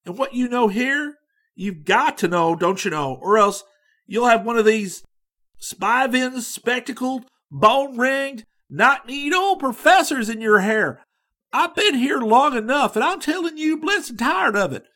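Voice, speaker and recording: A man voicing a brash, hearty young American male student who talks loud and slangy with an American accent. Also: very high-pitched, expressive, a little slow, pitched above the performer's own voice, full character voice. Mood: boisterous. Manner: loud, boisterous, slangy drawl.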